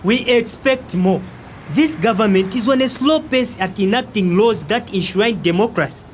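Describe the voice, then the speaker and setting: An indignant young Zambian man in an audio drama, played by an actor, pressing his point emphatically with lots of interjections. His pitch swings in a lively way, and some background noise sits behind him. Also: moderate pace. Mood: insistent. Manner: argumentative, emphatic, frequent interjections.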